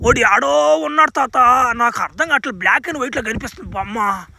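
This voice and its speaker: Man voicing a very high-pitched brash cartoon lad. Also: normal speed, vocal fry, sharp tone, a little hiss or hum, exaggerated voice. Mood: curious, excited. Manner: colloquial, crude, exclamatory. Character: brash, foul-mouthed young superhero son, easily baffled. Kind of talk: amateur cartoon dub.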